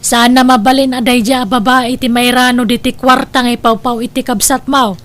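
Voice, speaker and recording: A woman's voice: a little high, little pitch movement, a little fast, a bit bright, lightly acted. A woman with an Ilocano accent playing an older, scheming mother in an audio drama, insistent and scolding with her grown children. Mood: resentful. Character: scheming, nagging older mother, possessive of son's money.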